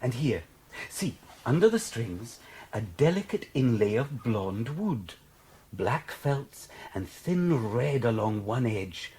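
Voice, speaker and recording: A slow, lilting kindly craftsman. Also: mid-range voice, sing-song. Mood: admiring. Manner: gentle, coaxing, savouring words. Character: kindly, admiring old-fashioned piano tuner coaxing a child. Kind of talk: period audio drama acting.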